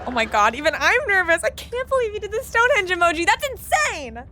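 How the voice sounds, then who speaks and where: A flustered, excitable young sitcom woman. Her voice is very high and swings around a lot, with emphatic delivery over clear background noise from a vehicle. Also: quick pace. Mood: excited. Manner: exclamatory, emphatic. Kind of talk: podcast sitcom acting.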